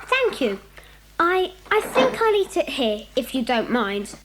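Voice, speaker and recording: An earnest, well-mannered little boy in a children's audio play. The voice is extremely high and lively in pitch, eager and polite, with faint noise behind it. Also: measured pace. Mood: polite, shy. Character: earnest, polite, excited young schoolboy. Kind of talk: acted audio dramatisation for children.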